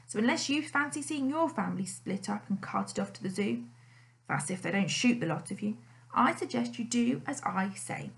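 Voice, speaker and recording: Woman doing bossy male fox villain, growling, smug, pushy and loud. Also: mid-range voice, animated, moderate pace, gravelly. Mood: gloating. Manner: growling, snapping, smug threats. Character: sly bullying male fox, cocky blackmailer.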